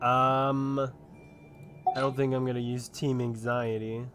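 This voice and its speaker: A man's voice: mid-range voice, flat delivery, a little slow. A man giving casual, muttered reactions while streaming a game. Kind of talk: casual gameplay reaction commentary. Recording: quiet voice under game music.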